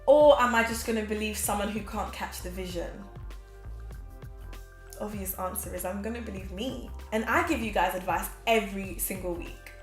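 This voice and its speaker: A woman in her twenties giving casual, energetic motivational talk to a camera as host, seated in a small room. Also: mid-range voice, normal speed. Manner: energetic, expressive, gestures a lot, laughs often. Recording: roomy echo with faint background music.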